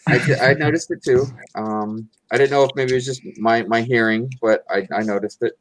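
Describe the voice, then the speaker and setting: A man's voice: medium pitch, steady tone, fairly quick, poor audio. A man co-hosting a casual livestream chat, laid-back and saying "dude" and "man" a lot. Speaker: livestream co-host.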